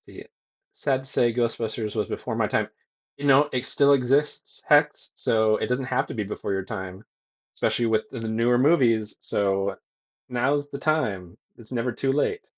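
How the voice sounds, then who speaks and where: A man's voice: mid-range voice, moderate pace. A young man with a soothing voice, casually chatting with viewers during a livestream and reading chat aloud with frequent ums. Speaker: streamer and digital sculptor. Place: home streaming room with green lighting.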